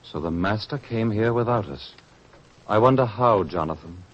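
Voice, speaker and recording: An actor playing a puzzled, questioning apostle in an old-fashioned radio drama. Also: male voice, fairly low pitch, animated, moderate pace, close to an everyday voice. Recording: dull, noisy old radio recording.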